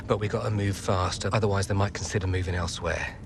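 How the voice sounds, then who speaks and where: An actor playing a pushy, persuasive football agent in a video game drama. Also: male voice, deep voice, fairly even intonation, fast talker, gravelly. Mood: urgent, businesslike. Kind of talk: naturalistic video game cutscene acting. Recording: music behind the voice.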